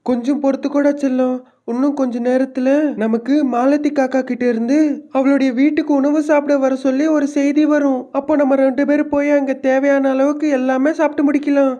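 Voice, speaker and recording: A mother sparrow played by a woman talks in a high but mellow voice, with even intonation. She is placid and coaxing, a patient glutton in a cartoon tale. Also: brisk, highs cut off, close to an everyday voice. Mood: soothing. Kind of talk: Tamil moral-story cartoon dub.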